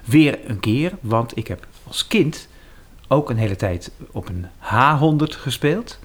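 A man's voice: mid-range voice, lively intonation, measured pace, husky. A man with a Netherlands Dutch accent talking casually as an interviewed musician, often repeating and rephrasing. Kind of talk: casual anecdotal interview talk.

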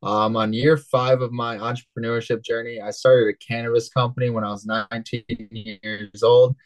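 A man in his twenties from West Michigan, a guest on an interview show telling his story in a relaxed, rambling way with many fillers. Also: mid-range voice, moderate pace, no echo, rough recording. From West Michigan, USA. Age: 20s. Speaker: guest, cannabis entrepreneur.

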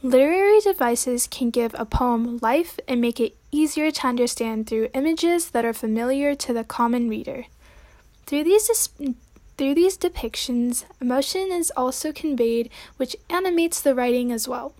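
A woman's voice: high voice, expressive, moderate pace, mellow. A young woman hosting a student poetry podcast, reading her commentary in an earnest, read-aloud way. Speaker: podcast host.

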